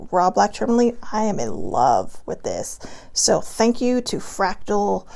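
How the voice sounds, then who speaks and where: A bubbly woman raised in Hawaii talks casually from home, giggling and rambling. Her pitch swings up and down a lot, and a gravelly fry runs under her words. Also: mellow. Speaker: vlogger doing a card reading. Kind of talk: casual livestream chat.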